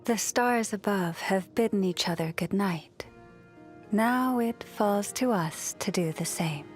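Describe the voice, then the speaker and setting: A woman voicing a gentle, serene moon priestess in a video game, speaking softly and soothingly with a reverent manner. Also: medium pitch, faint music behind, close to an everyday voice. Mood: calm, reflective. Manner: soft, soothing, unhurried, reverent. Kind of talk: scripted video game character voice lines.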